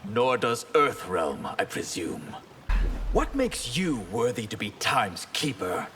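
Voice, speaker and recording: Slow, haughty fallen villain. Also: male voice, medium pitch, very animated, fairly bright tone, a little hiss or hum. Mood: haughty, resentful. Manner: accusing, haughty, deliberate. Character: proud, aggrieved male villain stripped of godhood. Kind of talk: video game fight dialogue.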